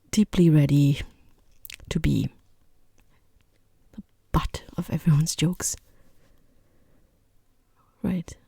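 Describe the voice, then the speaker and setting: A person voicing a rude, condescending boss in a soft-spoken ASMR roleplay, with a breathy half-whisper, hesitant pauses and dryly mocking, falsely polite understatement. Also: mid-range voice, no room sound, studio quality, heavily acted. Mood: dismissive, dry. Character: rude, condescending boss conducting a job interview.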